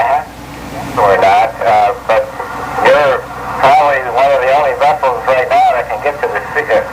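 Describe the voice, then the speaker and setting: Male coast guard operator through heavy radio noise. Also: medium pitch. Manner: hesitant, halting, formal. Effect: VHF radio. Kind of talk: procedural radio communication. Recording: very noisy VHF radio transmission, archival.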